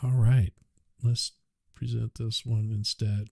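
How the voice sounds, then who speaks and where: A male livestream host talks in an unhurried, chatty way, half to himself as he works. His voice is heavily gravelly and a bit husky. The sound is dry and close, through a cheap USB microphone. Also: lowish voice, flat delivery, dark tone, no echo. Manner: unhurried, chatty, mutters while working. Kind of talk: casual livestream chat while adjusting audio. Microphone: cheap USB mic.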